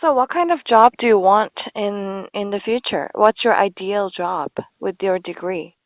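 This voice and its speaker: A woman's voice: mid-range voice, normal speed, dry recording. A woman interviewing in casual conversation, attentive and prompting with short questions. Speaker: interviewer, English conversation partner. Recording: tinny phone-like audio.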